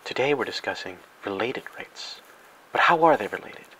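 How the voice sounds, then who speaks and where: A man with a fairly low, bright and forceful voice narrates a spoof educational film. He delivers it deadpan, with mock-serious grandeur, over some faint background noise. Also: moderate pace, low-quality recording. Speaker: narrator and host.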